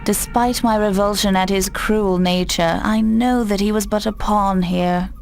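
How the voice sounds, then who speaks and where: Solemn, low-voiced mystical guide. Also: female voice, steady tone, vocal fry, darkish tone, soft background music. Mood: solemn resolve. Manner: formal, portentous, measured phrasing. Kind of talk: dramatic video game cutscene acting.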